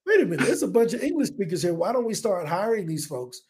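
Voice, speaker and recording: A man in his forties from the United States, a business owner telling stories on a podcast in an energetic, casual way, acting out his own past thoughts. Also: sits high, fast talker. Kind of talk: casual interview talk. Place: home in Colombia.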